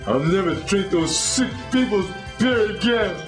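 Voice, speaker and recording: A man's voice: high voice, expressive, unhurried. A man playing a sorrowful, drunk shopkeeper in a comic mock advert. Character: sorrowful drunk shopkeeper who lost his store. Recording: echoey, with music.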